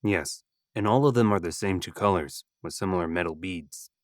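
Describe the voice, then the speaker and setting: A calculating bandit archer from a fantasy audio drama, acted by a man. He speaks low and measured, pragmatic and matter-of-fact, in a dry recording. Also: no echo, natural voice. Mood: cool, scheming.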